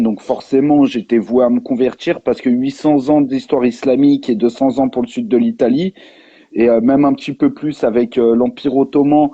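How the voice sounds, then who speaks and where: A man with a rough, dark-toned voice gives casual personal testimony. He is halting and emphatic, repeating phrases. The audio is dull and lo-fi. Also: mid-range voice. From France. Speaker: live discussion participant. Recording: muffled, echoey lo-fi live stream.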